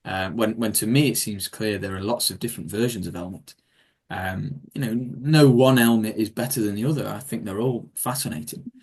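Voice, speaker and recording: A man's voice: on the low side, moderate pace, a little mellow, breathy edge. A man, a history speaker, answering audience questions in a rambling way with many ums and restarts over a video call. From England. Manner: rambling, self-correcting, with frequent ums and restarts. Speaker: guest speaker on Viking history. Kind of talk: Q&A after a talk.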